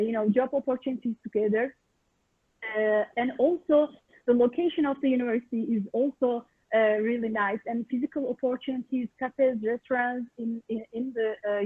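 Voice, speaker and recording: A woman's voice: a little high, dry recording. A woman presenting her university in a webinar, hesitant with many fillers and restarts. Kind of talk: webinar talk. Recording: tinny phone-like call audio.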